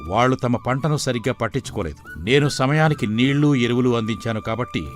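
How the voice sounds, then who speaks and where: A man's voice: medium pitch, brisk, soft background music, natural voice. Man playing a proud, miserly village moneylender. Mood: proud, firm. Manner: self-justifying, firm. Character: miserly, proud, self-made male village moneylender and farmer. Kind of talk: dramatised moral story dialogue.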